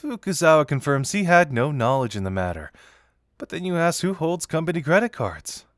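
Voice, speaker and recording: A man's voice: a little high, sing-song, normal speed, no room sound, studio quality. A man playing a playful, teasing young detective in an intimate audio roleplay, talking conversationally and with amusement straight to the listener. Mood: sly, musing.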